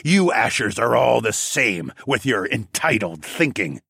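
A man voicing a stern, placid wolfman leader with a slow, growling, commanding delivery, as in an audiobook. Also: medium pitch, sing-song, gravelly, sharp tone, husky, dry recording, clean studio sound, full character voice. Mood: scornful. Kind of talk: audiobook character voice.

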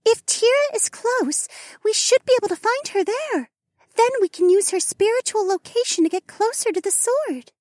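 A woman performs a devoted, earnest spirit maiden in a fantasy story. The voice is high and moves around animatedly in pitch, recorded close and dry. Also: animated, normal speed, darkish tone, no room sound. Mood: eager.

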